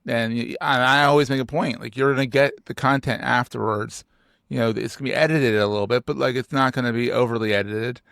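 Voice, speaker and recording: A man with a Philadelphia accent hosting a podcast interview, talking in an animated, joking way with emphatic repeats. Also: mid-range voice, fairly quick, vocal fry, smooth, no echo, studio quality. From United States. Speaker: podcast host. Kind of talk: casual interview chat.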